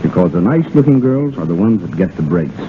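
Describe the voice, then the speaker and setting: A man's voice: sits low, big pitch swings, fast talker. An American man as a guest on 1930s radio, giving scripted banter in a wisecracking, colloquial way. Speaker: radio guest, dance director. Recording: faintly noisy, narrow-band 1930s radio.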